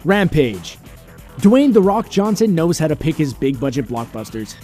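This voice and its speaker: A man's voice: a little high, sing-song, normal speed, warm tone. A man reading a scripted entertainment countdown as its narrator. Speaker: narrator of a video game and film countdown. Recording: music bed under the voice. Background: background music.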